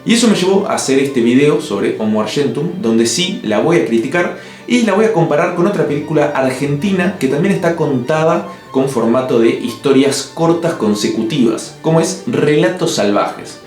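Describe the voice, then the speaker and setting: Un hombre de Uruguay con acento del Río de la Plata, que narra un ensayo en video con opiniones firmes y toques de humor seco. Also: male voice, fairly high pitch, animated, moderate pace. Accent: Río de la Plata Spanish. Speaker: video essay host. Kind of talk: scripted narration. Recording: echoey room with soft music.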